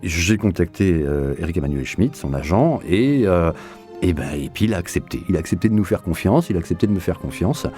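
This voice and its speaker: Male director, low-pitched interview voice, explanatory and repeating for emphasis, light music underneath. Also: animated, brisk, mellow. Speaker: audio drama director being interviewed. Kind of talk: reflective interview talk.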